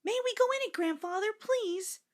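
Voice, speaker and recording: A woman voicing eager, excitable small children in a storybook, insistent and pleading. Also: very high-pitched, normal speed, voice pushed up.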